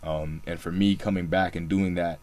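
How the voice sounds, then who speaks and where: Deep-voiced young man chatting casually. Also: steady tone, normal speed, darkish tone. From Singapore. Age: young adult. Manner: laid-back, filler-heavy storytelling. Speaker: podcast co-host. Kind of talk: casual podcast chat.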